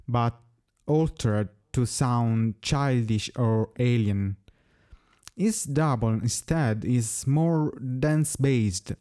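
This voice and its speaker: Slow, smooth-voiced Italian man. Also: mid-range voice, dark tone. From Italy. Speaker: musician guest. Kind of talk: prepared interview answers.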